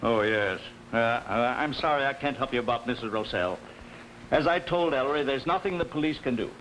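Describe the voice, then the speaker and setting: A man's voice: mid-range voice, fairly quick, a bit bright, close to an everyday voice. An actor playing a kindly but stubborn older police officer and father in early 1950s television drama. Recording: noisy old 1950s TV soundtrack.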